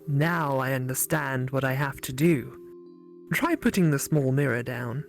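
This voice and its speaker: A mysterious spirit girlfriend from a visual novel, acted out by a woman. She speaks slowly and deliberately, with a possessive, otherworldly calm. Soft music plays faintly behind her. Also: rumbling low, vocal fry, pitched below the performer's own voice, heavily acted. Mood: quietly determined. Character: possessive female phone-dwelling spirit girlfriend, slow and mysterious.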